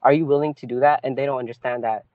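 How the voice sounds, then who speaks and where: A man from California, a poet and activist, telling his story as an interview guest in an animated, casual way, often saying "like" and "right?". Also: medium pitch, fast talker, no echo. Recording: tinny phone-like call audio.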